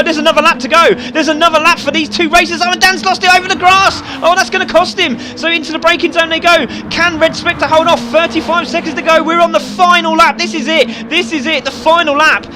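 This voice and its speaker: A man giving excited live commentary on a sim race, often shouting and laughing. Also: extremely high pitch, background noise.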